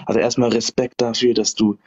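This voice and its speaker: Caller, man, quiet and hesitant, husky, muffled lo-fi call line. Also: lowish voice, flat delivery, fairly quick. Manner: hushed and hesitant, keeps apologizing. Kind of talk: livestream call-in questions.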